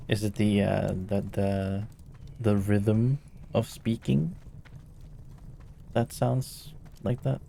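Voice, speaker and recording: A man's voice: flat delivery, slow, gravelly, mellow, slight background noise. A tired Norwegian man streaming a game, chatting casually and hesitantly with his viewers. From Norway. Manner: tired, hesitant, low-key. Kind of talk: casual chat while gaming.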